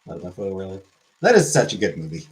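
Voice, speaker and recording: A man chatting casually on his stream, jumping from one joke to the next. His pitch swings widely, and he keeps it loose and rambling. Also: big pitch swings, racing, rough recording. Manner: rambling, jokey, reads chat aloud. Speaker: livestream host. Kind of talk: casual chat.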